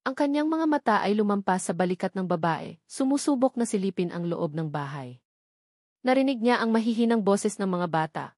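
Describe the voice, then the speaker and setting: A Filipino woman narrates a dramatic romance story. Her voice sits at a medium pitch for a woman, and the sound is dry, with next to no room in it. The delivery is scripted storytelling. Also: lively intonation, a little fast, darkish tone, no room sound.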